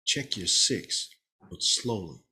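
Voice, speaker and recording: A man playing an impatient police investigator in a script table read, with a husky, exasperated delivery. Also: low voice, animated, slow, bright, forceful tone, exaggerated voice. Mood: exasperated, guarded.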